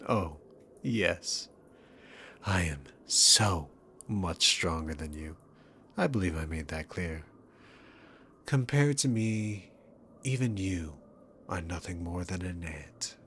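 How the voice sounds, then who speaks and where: A man's voice: low-pitched, animated, slow, pauses often, fairly bright tone, slight background noise, heavily acted. A man voicing a dominant, sarcastic demon king in an ASMR roleplay, taunting the listener with theatrical, arrogant condescension. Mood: haughty.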